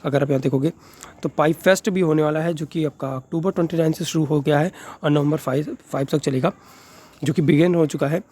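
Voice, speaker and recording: A man's voice: a little high, fairly even intonation, fairly quick, warm tone. A man with a sore throat, casually explaining things to viewers in a chatty way, mixing English into his talk with many fillers. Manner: Hindi-English mix, chatty, frequent fillers. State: sore throat, speaking softly. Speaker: crypto video creator.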